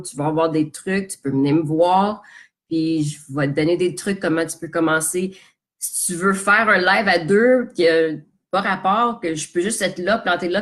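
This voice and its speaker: A woman with a Quebec French accent and a low voice gives business tips on a live stream. She talks casually and directly, slipping English phrases into her French the way a bilingual coach does. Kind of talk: live social media coaching talk. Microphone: phone or webcam on a live stream.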